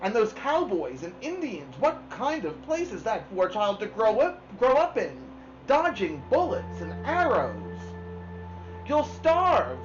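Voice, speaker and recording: Fretful older aunties from a storybook, all acted by one woman, lamenting in a high, swooping, overwrought voice. Music plays clearly behind. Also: big pitch swings, measured pace. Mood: dismayed wailing. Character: fretting, wailing older female relatives. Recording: dull lo-fi sound, music behind.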